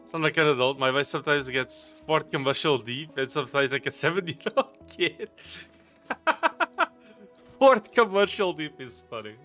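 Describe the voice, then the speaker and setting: A man's voice: a little high, animated, normal speed, pushed and bright, faint music behind. A man with a Portuguese accent, casually chatting with viewers on a game live stream, rambling and chuckling often.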